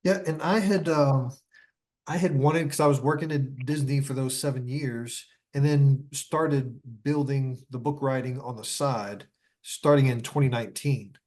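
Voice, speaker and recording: A man with a medium-pitched voice talks casually as an interview guest. He reflects in long, loosely linked phrases, often repeating a word as he goes. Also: measured pace, a little mellow.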